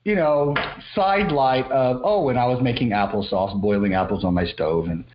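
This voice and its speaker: A man's voice: medium pitch, normal speed, reverberant, muffled recording, noisy background. A man hosting an interview, asking long rambling questions and giving frequent brief affirmations. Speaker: interview host. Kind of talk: conversational interview.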